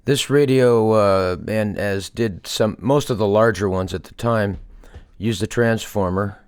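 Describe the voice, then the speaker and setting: A man's voice: on the low side, a little slow, clean tone, no echo, clean studio sound. A man giving a casual, unscripted show-and-tell as a hobbyist presenter, hesitantly thinking aloud as he goes. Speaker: hobbyist restorer presenting a project.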